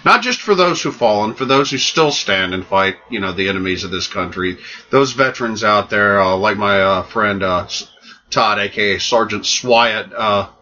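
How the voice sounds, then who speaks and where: A man co-hosting a podcast in casual chat, joking and halting over his words with fillers. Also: lowish voice, lively intonation, pushed and bright. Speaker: podcast co-host. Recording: dull lo-fi podcast audio.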